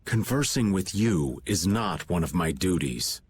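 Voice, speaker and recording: An actor playing a weary middle-aged knight captain who speaks formally and calmly, resigned to his fate. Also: low voice, a little slow, slight background noise. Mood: stoic. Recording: game audio with loud music.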